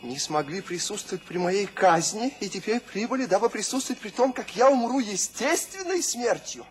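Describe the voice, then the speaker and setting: An actor playing a feverish, bitter, sardonic poet in a theatrical, declamatory Soviet radio drama style. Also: male voice, high-pitched, expressive, normal speed, slight background noise. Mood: bitter, defiant.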